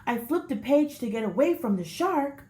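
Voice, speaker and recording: A woman teacher reading a children's picture book aloud with expressive storybook delivery. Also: high voice, moderate pace. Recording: echoey room.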